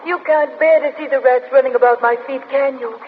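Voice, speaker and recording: A woman's voice: high voice, fairly even intonation, brisk, narrow, tinny audio, natural voice. Thin old-radio sound, actress as tender ghostly woman. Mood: sorrowful. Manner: solemn, theatrical, tender. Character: ghostly beloved woman, a tender, sorrowful vision. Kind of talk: vintage radio horror acting. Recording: thin band-limited old radio, faint noise.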